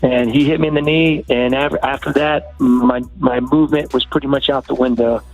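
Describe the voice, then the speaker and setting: A man's voice: mid-range voice, steady tone, normal speed. A male fighter talking casually after a bout in an aggrieved, insistent way. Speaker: professional fighter. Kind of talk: casual post-fight talk. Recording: dull, lo-fi audio with music.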